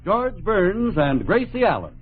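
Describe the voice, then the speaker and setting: A man's voice: high voice, very animated, unhurried. A man announcing an old radio comedy show in scripted period style. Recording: tinny radio broadcast, loud music.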